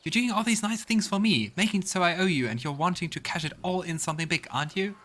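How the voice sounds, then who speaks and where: A man voicing a tense, suspicious young tiefling who talks bluntly in rough slang, for a video game read-along. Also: high voice, fast, fairly bright tone, pitched above the performer's own voice. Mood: angry, accusing.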